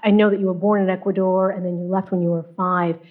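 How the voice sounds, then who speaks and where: Measured woman interviewer, muffled sound. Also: medium pitch, level tone. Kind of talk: broadcast interview.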